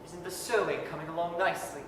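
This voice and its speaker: An actor playing a kindly, grieving father, earnest, tender and halting, in a staged 1930s radio drama. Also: on the high side, steady tone, natural voice. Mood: forced cheer. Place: performing arts center stage. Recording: echoey hall from far off, noisy.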